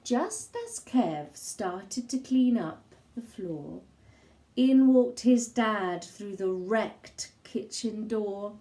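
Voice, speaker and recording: A woman reading a children's story aloud in an expressive style, with measured, dramatic phrasing and pauses. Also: mid-range voice. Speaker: storybook reader.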